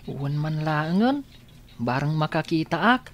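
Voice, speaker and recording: A woman's voice: sits low, big pitch swings, a little slow, a little hiss or hum. A woman playing an anxious but brave young boy in an Ilocano radio drama, with a childlike, questioning manner. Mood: anxious, hopeful.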